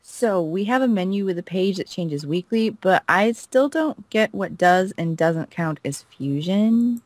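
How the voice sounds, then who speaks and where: A woman's voice: mid-range voice, expressive, a little slow, darkish tone, no echo. A woman co-playing a game, reading dialogue aloud in a casual way and chatting between lines. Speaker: co-player reading a character's lines.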